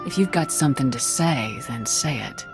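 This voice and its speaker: A woman's voice: a bass voice, expressive, moderate pace, music in the background. An actress playing a confident female military commander in a video game, direct and assured. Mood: frank.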